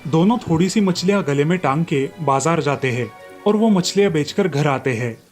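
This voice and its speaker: A man's voice: a little high, expressive, moderate pace. A man narrating a scripted fairy tale as a storyteller. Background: light background music.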